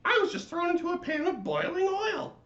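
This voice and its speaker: A man voicing an indignant, high-strung potato pancake from a storybook, loud and exclaiming. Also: very animated, fairly quick, exaggerated voice. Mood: indignant, exasperated. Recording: dull, roomy, faintly noisy home recording.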